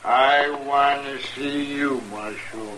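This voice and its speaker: An actor in a 1950s radio drama playing a spiteful frontier husband who stammers and gloats in a slow rustic drawl. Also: male voice, medium pitch, steady tone, noisy background, close to an everyday voice. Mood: sly, insistent. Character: sly, spiteful frontier husband, middle-aged man.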